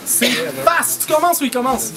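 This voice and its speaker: Un homme à l'anime une soirée de battle rap en direct, d'un ton fort et enjoué, avec de l'argot anglais. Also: male voice, very high-pitched, normal speed. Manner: loud, playful hype, mixing English slang. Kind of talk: hyping a live event. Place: small indoor shop venue. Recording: loud crowd and music behind.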